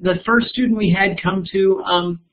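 A man speaking as an educator on a panel, telling school anecdotes with lively rises and hesitant fillers. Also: sits high, moderate pace. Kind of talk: storytelling panel talk. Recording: dull lo-fi call audio.

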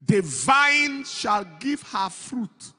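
A middle-aged pastor with a high, bright voice and a slightly breathy edge, preaching slowly and deliberately. He presses each phrase with force into a handheld mic. His words ring out in the long reverb of a big auditorium. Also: big pitch swings. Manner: builds from slow, measured delivery to loud, emphatic preaching. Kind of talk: sermon. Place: large church auditorium stage with a crowd. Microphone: handheld microphone.

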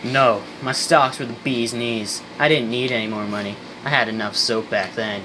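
A man playing a boastful, bitter, soap-obsessed failed tycoon with deadpan delivery and old-fashioned slang in an amateur radio skit. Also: mid-range voice, normal speed, a little hiss or hum, lightly acted, poor audio.

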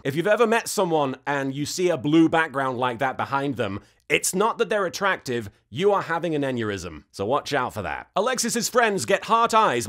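Animated man with a high, swooping voice. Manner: animated, sarcastic, exasperated, swearing. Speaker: host of anime reaction series. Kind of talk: comedic recap commentary.

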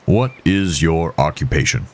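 Man playing a very deep, stern doctor. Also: lively intonation, unhurried. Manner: formal, clipped, precise. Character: gruff, stern, impatient male small-town doctor. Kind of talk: audio horror story character voice.

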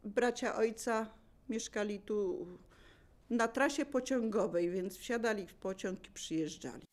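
Older female, local resident type, average pitch, relaxed conversational reminiscing. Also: measured pace. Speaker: local resident sharing memories. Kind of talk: casual reminiscing.

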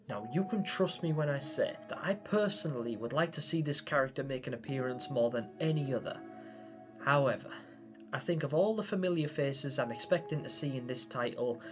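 A young man hosting a video, giving theatrical, wordy commentary to viewers about game theories. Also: mid-range voice. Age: young adult. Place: small home room, indoors. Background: background music.

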